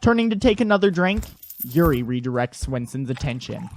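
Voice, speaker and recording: A woman's voice: rumbling low, sing-song, brisk, a little mellow. A woman narrating an audio drama from a script. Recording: clear background noise and clicks.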